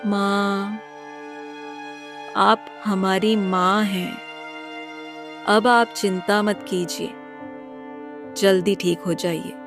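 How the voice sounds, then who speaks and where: A woman playing a kind, selfless young married village woman in a moral story, gentle and reassuring. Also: medium pitch, steady tone, drawn-out words, long pauses, over music. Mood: caring. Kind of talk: dramatized Hindi moral story.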